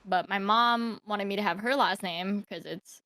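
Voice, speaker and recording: A woman's voice: medium pitch, unhurried, pushed and bright, no echo. A young woman from Thailand telling her life story as a podcast guest, hesitant, with many fillers and restarts. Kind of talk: casual interview storytelling.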